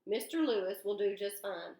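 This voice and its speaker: A woman's voice: mid-range voice, moderate pace, dark tone, reverberant, close to an everyday voice. A woman voicing a kindly older man who teases a boy, telling his worries with easy humor. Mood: teasing. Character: older male driver, kindly teasing man.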